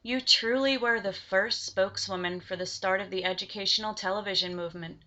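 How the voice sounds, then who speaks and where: A woman's voice: mid-range voice, steady tone, brisk, sharp tone, highs cut off. A woman acting as interviewer, reading formal, admiring questions in a scripted interview.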